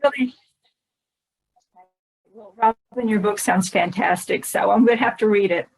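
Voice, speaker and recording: Mid-pitched woman, guest author. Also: a little slow, gravelly, breathy edge, rough recording. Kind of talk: conversational book synopsis.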